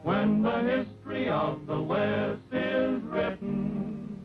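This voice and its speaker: A woman's voice: medium pitch, takes it slowly. A woman singing an old-time western song. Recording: muffled vintage soundtrack. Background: music.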